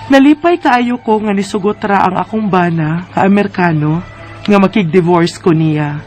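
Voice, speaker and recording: A woman's voice: medium pitch, normal speed, over music, lightly acted. A woman with a Filipino Visayan accent acting a weary, homesick wife in an earnest radio drama. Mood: reflective. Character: weary, homesick Filipina wife divorcing her American husband.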